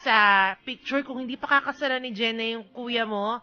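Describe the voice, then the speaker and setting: A woman's voice: a little high, steady tone, normal speed, sharp tone, muffled recording. A woman with a Filipino accent co-hosting a radio show, giving blunt, emphatic advice in casual banter. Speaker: radio DJ co-host.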